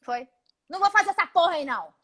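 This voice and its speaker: A woman in her forties with a Brazilian Portuguese accent, a congresswoman giving a live video interview in an emphatic, indignant way, often speaking in rapid lists. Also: extremely high pitch, expressive, a bit bright. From Paraná, Brazil. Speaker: congresswoman guest. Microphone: video call.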